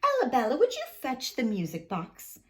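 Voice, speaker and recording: Woman reading as a kindly, expressive storybook teacher. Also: high-pitched, moderate pace. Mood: cheerful, inviting. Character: Madame Rosa, kindly woman ballet teacher. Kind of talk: children's storybook read-aloud.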